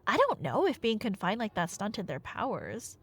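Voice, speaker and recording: A woman's voice: medium pitch, normal speed, slightly rough. A woman playing a nerdy, chatty, playfully bossy girlfriend who rambles through myths in a conversational ASMR roleplay. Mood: excited musing. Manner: rambling, animated, tangent-prone, mock-indignant.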